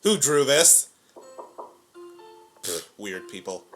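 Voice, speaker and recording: A man's voice: medium pitch, sing-song, takes it slowly, a bit bright, soft background music. A man giving casual reaction commentary in short, wry interjections. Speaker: reaction host.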